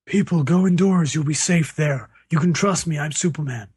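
A man's voice: on the high side, normal speed, darkish tone, husky, no echo. Guy doing an earnest hero, full of self doubt, spoof radio play, dry sound. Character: earnest superhero agonizing over sacrificing himself.

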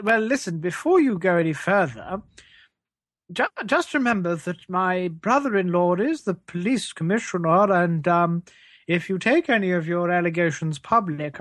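A man's voice: sits high, a bit bright, no room sound, studio quality. A man with a British accent playing a shifty, menacing, shameless parish reverend in an improvised comedy sketch, hesitant, evasive and falsely polite. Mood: evasive, quietly threatening.

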